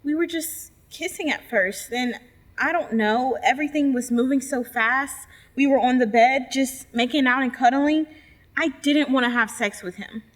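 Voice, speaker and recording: A young woman acting a shaken college student in a readers theater monologue, halting and confiding as she speaks. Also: high-pitched, fairly bright tone. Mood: distressed, hurt. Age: young adult.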